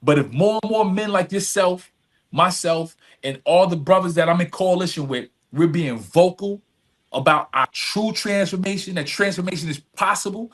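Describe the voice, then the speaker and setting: Higher-voiced middle-aged man, earnest and emphatic. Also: moderate pace. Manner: earnest, emphatic, repeats phrases, often tags with "right?". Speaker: podcast guest, nonprofit executive director. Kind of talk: conversational interview storytelling.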